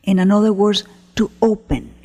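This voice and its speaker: A woman leading a guided meditation as a teacher, gentle and unhurried, pausing often between short phrases in a breathy voice. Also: mid-range voice, little pitch movement. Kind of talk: guided meditation, spoken slowly to listeners. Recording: long hall-like reverb.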